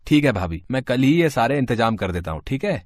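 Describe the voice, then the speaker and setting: A man's voice: mid-range voice, sing-song, brisk, dry recording. A man playing a kindly, practical family friend in a moral story, speaking reassuringly. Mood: helpful. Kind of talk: Hindi moral story voice acting.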